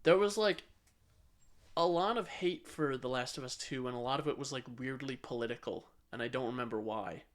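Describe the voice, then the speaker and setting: A man with a mid-pitched voice co-hosts a casual podcast. He gives his opinions bluntly and swears freely as he chats. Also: brisk. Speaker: podcast co-host.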